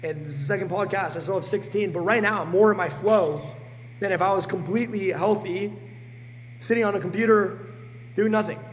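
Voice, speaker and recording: A man in his late teens with a hoarse, sick voice, talking bluntly and energetically as a podcast guest. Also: sits high, fairly quick, long reverb tail, a little hiss or hum, poor audio. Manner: energetic and blunt, swears freely. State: hoarse, sick with a sore throat. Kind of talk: casual podcast chat.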